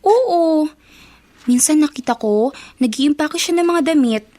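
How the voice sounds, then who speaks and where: High-voiced, puzzled young daughter. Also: female voice, lively intonation, measured pace, heavy background noise. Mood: worried, puzzled. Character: puzzled, observant young daughter confiding in older brother. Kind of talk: Filipino radio drama acting.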